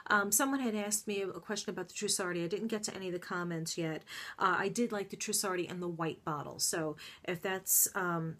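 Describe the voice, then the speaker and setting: Chatty congested woman vlogger. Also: on the low side. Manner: chatty, gushing, frequent ums. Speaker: beauty vlogger. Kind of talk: casual favorites review to camera.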